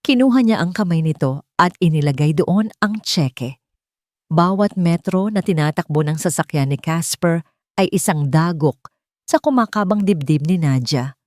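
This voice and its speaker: A woman's voice: on the low side, lively intonation, normal speed, a little mellow, no room sound, studio quality. A woman reading dramatic scripted narration as the storyteller of a romance audio drama.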